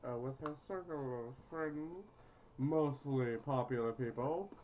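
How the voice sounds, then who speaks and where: A woman's voice: rumbling low, measured pace, mellow, slight background noise, low-quality recording. A foul-mouthed woman reading a game aloud with casual, crude joking and stumbles over the words. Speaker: gaming video host.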